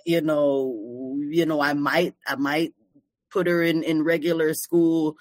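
A woman's voice: sits low, slow, dry recording. A woman from Barbados, a psychologist guest chatting casually and easily in an interview, with lots of "like" and "you know". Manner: relaxed, chatty, with many fillers.

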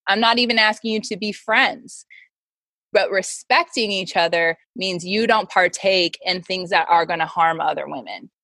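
A woman's voice: lowish voice, normal speed, pushed and bright, no echo. A woman in her thirties talking casually as an interview guest, candid and emphatic, sprinkling in "like" and voicing quotes as she goes. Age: 30s. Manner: candid, emphatic, peppers talk with "like" and acted-out quotes. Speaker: interview guest, modeling agency founder and mentor.

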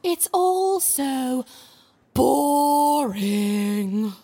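A woman's voice: high voice, expressive, drawn-out words, mellow, heavily acted. A woman playing a bored, ruthless young Egyptian queen in a comic audio drama, with a giggly, theatrical, flippant delivery.

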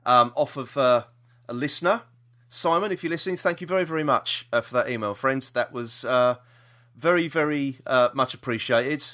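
A man's voice: medium pitch, moderate pace, sharp tone. A British man hosting a podcast in casual chat, hesitant and rambling, often repeating himself. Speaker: podcast host.

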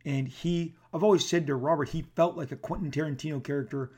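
A man talking casually about comics with a gravelly, throat-clearing voice, rambling with lots of restarts and fillers. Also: a little high, steady tone, fast, darkish tone. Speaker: comic book artist. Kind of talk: casual talk to camera.

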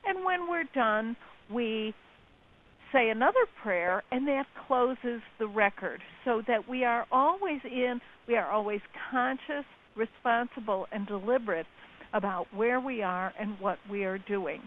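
A woman author speaking as a guest on a talk show, measured, drawing out words for emphasis. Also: fairly high pitch, lively intonation. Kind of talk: casual radio chat. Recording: tinny phone line with faint hiss.

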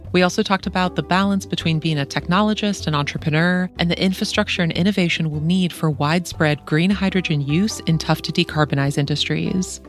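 A podcast host with a mid-range voice reads scripted narration in a clear, measured way. Faint music sits under the voice. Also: mid-range voice. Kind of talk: scripted narration and interview questions.

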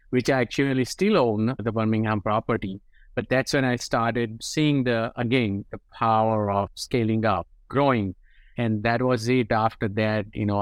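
A man's voice: lowish voice, clean tone, dry recording. A man from India with an Indian accent, a guest investor explaining his path in a conversational interview, often tagging sentences with "right?". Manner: explanatory, frequent "right?" tags.

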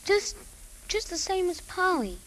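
An actor, a woman by voice, playing an eager, excitable young boy in a 1950s radio drama. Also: very high voice, unhurried, heavily acted. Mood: grave, wondering. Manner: eager, exclaiming. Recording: noisy 1950s radio broadcast recording.

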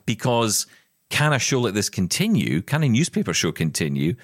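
A man's voice: lowish voice, huge pitch swings, moderate pace, no echo, clean studio sound. A middle-aged man co-hosting a podcast, talking in an impassioned, emphatic way with plenty of restarts. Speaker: podcast co-host. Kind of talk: casual chat.

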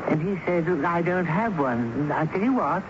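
An actor playing a fussy, wistful office witness in a radio drama, halting yet emphatic. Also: male voice, fairly high pitch, lively intonation. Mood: wistful, rueful. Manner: halting, emphatic, repeats himself. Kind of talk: 1970s radio drama acting. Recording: muffled, noisy 1970s radio broadcast.

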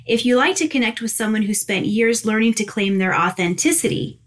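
A woman's voice: fairly high pitch, animated, fairly quick. A tired woman hosting a spiritual podcast in a gushing, chatty way, laughing often. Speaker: podcast host and angel communicator. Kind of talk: casual spiritual podcast chat.

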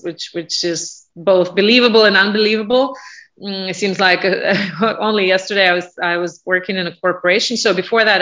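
A woman in her forties from Russia with a Russian accent, answering interview questions in English with many pauses and fillers. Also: fairly low pitch, pushed and bright, muffled recording. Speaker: guest, business owner.

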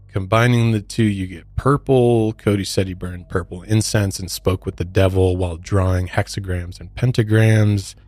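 A man's voice: lowish voice, darkish tone, dry recording. An American man hosting a true crime podcast, narrating and commenting in a casual, conversational, disapproving way. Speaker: podcast host.

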